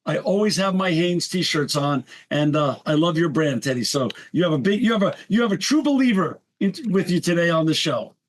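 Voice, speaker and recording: A man's voice: a little high, expressive, a little fast, few pauses. A man hosting a podcast interview, effusive and enthusiastic as he welcomes his guest. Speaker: podcast host.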